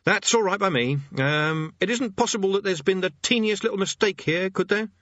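A man's voice: high-pitched, fairly quick, a bit bright, dry recording, muffled recording, voice pushed up. A man voicing a hapless, anxious and cowardly man in an audiobook, hesitant and wryly placating. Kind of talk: audiobook character voice.

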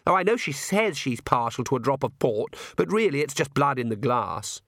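A man's voice: mid-range voice, big pitch swings, moderate pace, fairly bright tone, dry recording, studio quality. Sullen vampire daughter, played by a male narrator, weary and grudging, for a comic storybook, dryly recorded. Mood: sulky. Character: sulky, theatrically weary young female vampire, the Count's daughter.

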